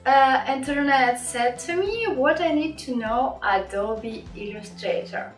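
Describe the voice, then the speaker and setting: A young woman with a Russian accent speaks in a high, bright register at a slow, patient pace. She explains design software to beginners in friendly, simple English. The room sounds roomy and the camera mic sits back from her, with faint music underneath. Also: recorded from a distance. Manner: friendly, unhurried, simple English with small slips. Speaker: graphic design teacher. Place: small home office.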